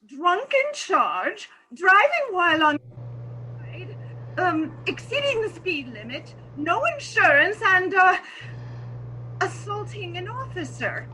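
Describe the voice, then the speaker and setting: A woman's voice: very high voice, big pitch swings, slow pace, bright, forceful tone, slight background noise, heavily acted, low-quality recording. A woman playing an earnest, distressed unmarried mother, a nurse pleading her case in a comic stage farce reading. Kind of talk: comic farce readers theatre.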